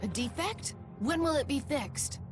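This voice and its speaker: A woman's voice: high-pitched, animated, a little slow, music in the background. An actress playing an outspoken, easily indignant married townswoman in a video game, with a blunt, dramatic way of talking. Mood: reproachful. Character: indignant, outspoken married woman gathering herbs. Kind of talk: video game dialogue acting.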